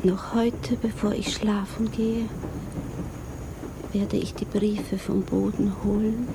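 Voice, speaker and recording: Wistful widow lady, kinda anxious and dreamy, old radio play with loud hiss. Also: mid-range voice, level tone, takes it slowly. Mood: longing. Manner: introspective, musing, often hushed to a whisper. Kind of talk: 1960s German radio drama acting. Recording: noisy vintage radio play recording.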